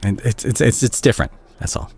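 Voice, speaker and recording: A man playing a remorseful, tender doctor and ex-boyfriend in an intimate roleplay audio drama, speaking softly and hesitantly with a husky voice. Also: fairly low pitch. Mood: awkward, probing. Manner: hesitant, soft-spoken, halting.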